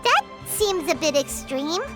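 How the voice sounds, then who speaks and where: A tiny, chirpy companion creature from a video game. Her voice is very high and bounces all over the place, bubbly and inquisitive. Light music plays behind her. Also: big pitch swings, unhurried, exaggerated voice. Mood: curious. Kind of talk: game dialogue.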